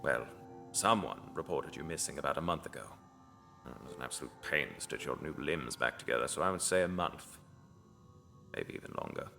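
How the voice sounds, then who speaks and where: Macabre audio drama tailor: extremely low, creaky, apologetic and halting, with music underneath. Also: male voice, animated, sharp tone, exaggerated voice. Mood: grim, regretful. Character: gruesome but apologetic underground tailor, cult member who stitches corpses.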